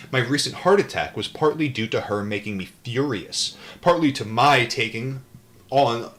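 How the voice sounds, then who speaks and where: Low-voiced man reading and riffing. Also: a bit bright. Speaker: host reading a book aloud to a live chat. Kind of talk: audiobook-style reading with casual off-the-cuff asides.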